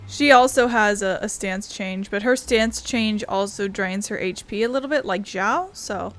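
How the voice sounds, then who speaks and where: A woman's voice: mid-range voice, normal speed, fairly bright tone. A woman giving casual let's play commentary, chatty and thinking aloud as she plays. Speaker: gaming streamer. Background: game music.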